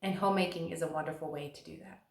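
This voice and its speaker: A woman's voice: low-pitched, fairly even intonation, background noise. Earnest woman in an echoey room. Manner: earnest and encouraging, slows to stress key words. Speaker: vlogger and stay-at-home mom. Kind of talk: scripted advice talk to camera.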